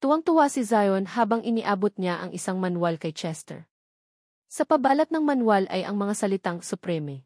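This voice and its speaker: A woman's voice: mid-range voice, lively intonation, moderate pace, darkish tone, no room sound, clean studio sound. A woman reading a novel aloud as a scripted story narration. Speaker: audiobook narrator.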